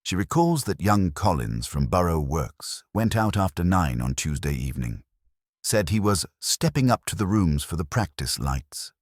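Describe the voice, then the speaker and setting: A dutiful police sergeant in a mystery story, as a man reads him. He reports in a deferential, matter-of-fact way, in a very low voice with lively intonation and a dry sound. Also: breathy edge, no room sound, heavily acted. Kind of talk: storybook mystery character dialogue.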